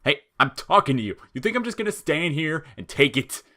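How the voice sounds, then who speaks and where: A man's voice: a little high, very animated, fairly quick, sharp tone, pitched above the performer's own voice. A man voicing a spiteful, falsely chirpy talking flower in audio fiction, taunting and needling with whiny outrage and menace. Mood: indignant.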